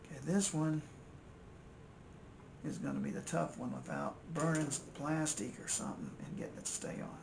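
Older American male hobbyist, fairly high creaky voice, casual folksy think-aloud narration at a workbench. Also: normal speed. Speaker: electronics repair hobbyist and video host. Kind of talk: casual muttered narration while working at repairs. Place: indoors at a repair workbench. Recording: steady noise, home-made workbench recording.

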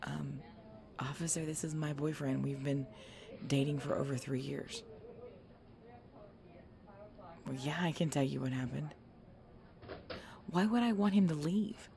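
A woman playing a tough, weary, injured biker girlfriend in an ASMR roleplay audio drama, speaking haltingly and protective of her boyfriend. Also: low voice, gravelly, crowd noise. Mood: defensive, reluctant.

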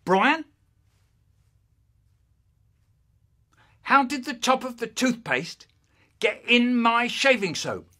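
A man's voice: very high-pitched, sing-song, a little slow, pushed and bright, voice pushed up, heavily acted. A man with an English accent voicing an exasperated father who questions sharply and accusingly in a story. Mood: indignant.

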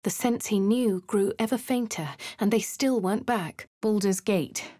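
An actress with a posh English accent playing a composed adventurer in a game, speaking in a dry, measured, lightly sardonic way. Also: female voice, medium pitch, warm tone, no echo, natural voice. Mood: wry. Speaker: player character. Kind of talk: game voice lines. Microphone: studio mic.

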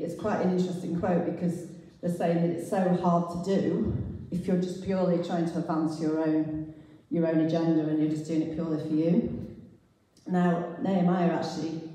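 A woman's voice: on the low side, warm tone. A middle-aged woman giving an informal, explanatory sermon with scripture readings as a church speaker in a large hall. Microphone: handheld mic through speakers, picked up by distant camera. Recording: very echoey hall, far from the camera.

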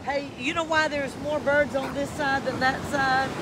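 A woman's voice: high-pitched, steady tone, moderate pace. A woman in her fifties with a Southern US accent, chatting casually and folksily as co-host of a livestream. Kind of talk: casual chat. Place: outdoors on a windy ocean beach. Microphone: partner's phone at arm's length. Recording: outdoor phone, surf, wind, background babble.

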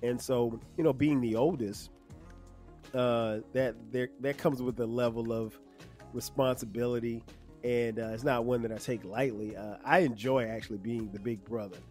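A man in his fifties from South Carolina, hosting a solo podcast in a relaxed, conversational way with many fillers. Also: mid-range voice, moderate pace, soft background music. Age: 50s. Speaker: podcast host. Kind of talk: casual solo podcast monologue.

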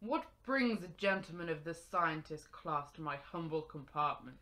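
A woman's voice: deep voice, lively intonation, normal speed, sharp tone. A woman acting a solemn old man, keeper of a legend, oracular in an amateur radio drama. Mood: guarded.

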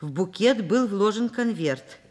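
A woman's voice: medium pitch, lively intonation, measured pace, a little mellow. An actress playing a frank, kindly middle-aged professor in a Soviet radio play, wry and conversational as she talks and narrates. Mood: musing.

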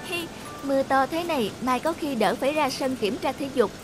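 A woman's voice: high voice, lively intonation, normal speed, music in the background. A woman voicing a bookish, grumbling skinny schoolboy in a cartoon, with a plaintive manner. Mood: complaining. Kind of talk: dubbed cartoon acting.

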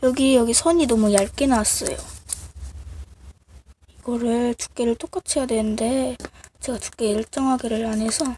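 A woman with a cold that makes her voice sound off, casually showing handmade crafts in a halting, apologetic, self-deprecating way. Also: on the high side, steady tone, warm tone. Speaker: craft video creator. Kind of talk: casual show-and-tell of handmade clay miniatures. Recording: noisy amateur recording with clicks.

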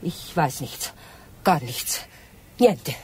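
An actress playing a nervous, apologetic waitress in a radio crime drama, stammering and flustered. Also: female voice, sits low, lively intonation, very slow, soft background music, full character voice.

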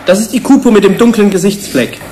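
Calm, slightly high-voiced male researcher played by an actor. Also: fast, a little hiss or hum, close to an everyday voice. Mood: clinical. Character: calm, factual male researcher presenting findings. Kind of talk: German radio play dialogue.

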